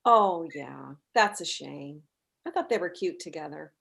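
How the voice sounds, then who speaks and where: A woman's voice: deep voice, expressive, unhurried, gravelly, fairly bright tone, full character voice. A woman playing a polite but blunt mother in her forties, in a comedy script read. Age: middle-aged.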